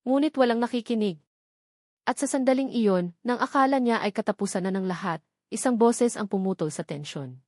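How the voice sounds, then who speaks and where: A woman with a Filipino accent narrating a dramatic scripted story in a measured storytelling manner. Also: fairly high pitch, darkish tone, dry recording, studio quality. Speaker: audiobook narrator.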